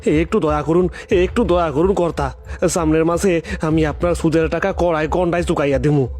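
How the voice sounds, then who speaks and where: A man's voice: a little high, fairly even intonation, fairly quick, darkish tone, close to an everyday voice. A man playing a poor, humble fisherman in a cartoon story, pleading plaintively in a rural East Bengali dialect, with music behind. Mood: anxious pleading. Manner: pleading, plaintive, stammering repeats. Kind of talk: dramatic cartoon story acting. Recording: background music under the voice.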